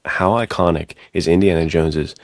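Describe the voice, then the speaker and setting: Male co-host, very low voice, brisk delivery, casual slangy conversation between buddies. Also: steady tone, no echo, clean studio sound. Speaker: podcast co-host. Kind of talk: casual chat between friends.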